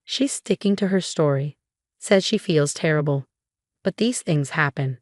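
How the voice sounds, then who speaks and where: A woman's voice: sits low, expressive, measured pace, dry recording, studio quality. A woman voicing a troubled, subdued husband in a dramatic narrated story, speaking steadily. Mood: resigned. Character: troubled husband, torn son of a scheming mother.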